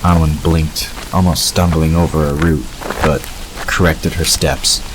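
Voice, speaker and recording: A man's voice: a bass voice, level tone, measured pace. A man reading scripted story narration for an audio drama. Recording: noisy, rain-like hiss behind.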